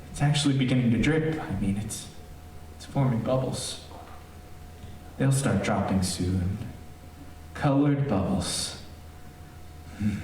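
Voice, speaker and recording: A man playing a lonely, unhinged radio-drama character who rambles haltingly and repeats himself. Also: on the low side, darkish tone. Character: lonely, unhinged man addressing listeners, sliding from wistful to hysterical. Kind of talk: theatrical old-time radio drama acting. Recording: very echoey stage, distant mic, faint noise.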